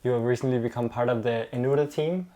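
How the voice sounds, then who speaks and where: A man's voice: medium pitch, fast, dark tone. A man hosting a podcast interview, asking casual, curious questions and following up on what his guest says. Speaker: podcast host.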